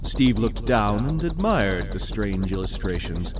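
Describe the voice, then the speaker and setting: A man narrating a scripted audio drama in a dramatic, deliberate storytelling manner. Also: fairly low pitch, big pitch swings, heavy background noise.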